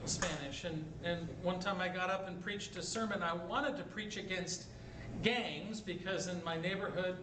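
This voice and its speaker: A man's voice: high voice, normal speed, few pauses. A middle-aged man who is a bishop, a native English speaker giving a jovial conference talk with questions, mixing Spanish and English. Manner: jovial storyteller, asks "right?" often. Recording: echoey hall, distant mic, background noise.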